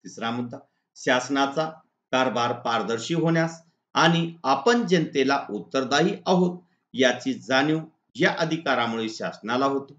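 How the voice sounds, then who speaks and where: A man with a Maharashtrian accent, a teacher reading out lesson answers point by point in a deliberate, instructional way. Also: mid-range voice, fairly bright tone. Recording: echoey room, dull lo-fi sound.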